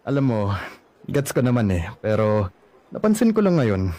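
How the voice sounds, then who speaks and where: An actor playing a lonely young man who still loves his ex, wistful and gently probing, in a radio drama. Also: medium pitch, big pitch swings, normal speed, dry recording, lightly acted.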